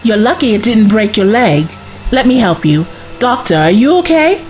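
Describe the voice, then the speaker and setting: Lady acting as brave young companion in an audio drama, direct and caring, lively pitch, music behind. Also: moderate pace. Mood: worried, earnest. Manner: earnest, direct. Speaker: actress in a sci-fi audio drama.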